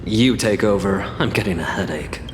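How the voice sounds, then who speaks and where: An actor playing a wry, laid-back young man deep in debt in a video game. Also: moderate pace, close to an everyday voice. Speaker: game character. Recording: echoey room with long reverb, noise.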